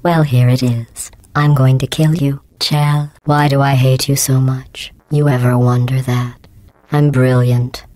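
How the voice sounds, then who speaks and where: A woman playing a coldly menacing, sarcastic female artificial intelligence in a video game, deadpan and falsely polite, with robotic processing on the voice. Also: rumbling low, no echo. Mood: cold, hateful. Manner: deadpan, passive-aggressive, falsely polite, measured enunciation. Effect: robotic synthesized voice processing. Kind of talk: scripted video game voice acting.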